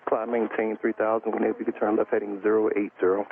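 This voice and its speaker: Male air traffic controller, deep and fast, procedural and matter-of-fact, heard through a tinny radio link. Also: completely flat delivery. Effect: aviation radio. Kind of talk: clipped procedural radio instructions. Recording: narrow tinny radio transmission, faint static.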